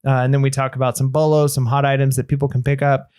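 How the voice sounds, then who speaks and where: A man's voice: mid-range voice, fast, clear, resonant tone. A man hosts a casual podcast in an everyday mid-range voice. He speaks steadily and explains things plainly, guiding the conversation from one topic to the next. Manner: steady, explanatory, guides the talk. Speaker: podcast host. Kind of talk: casual chat.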